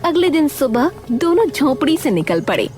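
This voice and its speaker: A woman delivering dramatic scripted narration for an animated story. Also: high-pitched, normal speed. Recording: loud background music.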